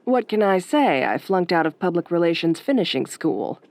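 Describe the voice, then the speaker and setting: A woman acting as a sardonic late-night radio DJ in a horror audio roleplay, soft-spoken and velvety with a dry, wry delivery. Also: on the low side, very animated, moderate pace. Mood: sardonic, baffled.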